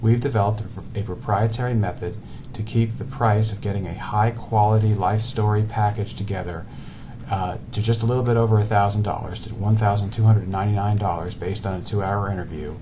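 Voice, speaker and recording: A man in his forties giving an earnest, deliberate presentation about his company, stressing lists of words. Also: on the low side, fairly even intonation. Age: 40s. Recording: dull lo-fi sound with clear background noise.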